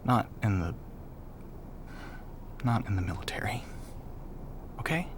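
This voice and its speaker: Over clearly noisy ambience comes a troubled young male navigator from a military sci-fi audio roleplay , acted by a man. His voice sits on the low side and he speaks haltingly, stammering with raw feeling. He is bitter and volatile, yet apologetic. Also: lively intonation. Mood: subdued, earnest. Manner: halting, stammering, emotionally charged delivery. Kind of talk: audio roleplay acting addressed to the listener.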